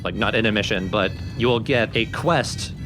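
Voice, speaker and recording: A man's voice: moderate pace, music in the background. A man giving casual, informal gameplay commentary, explaining things as the host of a let's play. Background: game sound effects and rumble.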